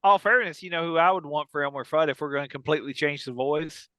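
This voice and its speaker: A man's voice: on the high side, fast talker, pushed and bright, no echo. A man co-hosting a podcast in casual comedic banter, chatty and teasing, repeating words for emphasis. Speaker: podcast co-host.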